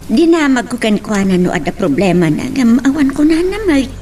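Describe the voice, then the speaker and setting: A woman acts out a concerned mother of a grown child, kindly yet fretful. Her fairly high voice moves widely in pitch, in radio-drama style, with a little noise behind it. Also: sing-song, moderate pace, dark tone. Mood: worried.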